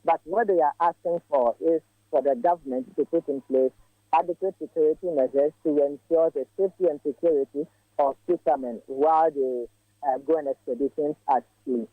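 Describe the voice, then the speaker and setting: A male field reporter, giving a live news update by phone in a measured way with frequent filler pauses. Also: a little high, no echo. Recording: tinny dry phone line.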